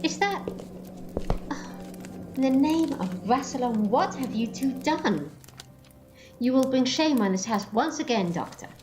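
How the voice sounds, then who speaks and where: A woman's voice: high voice, sing-song, a little slow, pauses often. An actress playing a haughty, scolding Time Lady mother in an audio drama, imperious and disapproving. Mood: indignant, scolding.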